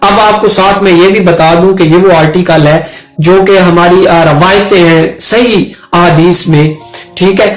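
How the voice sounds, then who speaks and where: A Pakistani man with a distinctly high voice explains law in an informal, storytelling way. He restates his points as he goes. The room echoes noticeably and music plays underneath. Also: fairly even intonation, measured pace. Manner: conversational, repeats and rephrases points. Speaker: advocate and legal educator. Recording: roomy echo, music underneath.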